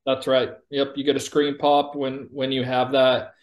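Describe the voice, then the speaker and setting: A man's voice: medium pitch, completely flat delivery, normal speed. A man in his forties giving an informal product demo over a video call in a plain-spoken, explanatory way. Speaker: company chief executive giving a product demo. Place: indoor room on a video call. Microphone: video call microphone.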